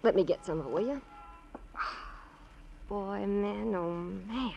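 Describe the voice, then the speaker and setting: An actress playing a chatty, grudging housewife in a 1950s radio crime drama, animated, wisecracking and given to mimicry. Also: female voice, mid-range voice, slow, gravelly, slight background noise. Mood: offhand, weary. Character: brassy, chatty, grudging housewife, gossipy former neighbor. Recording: dull, dry old radio recording.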